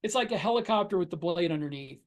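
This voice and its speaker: A man's voice: high voice, animated, fast talker. A man co-hosting a writing podcast, chatting casually and explaining things in a wry, plain-spoken way. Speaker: podcast co-host and science fiction writer. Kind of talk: casual shop-talk chat.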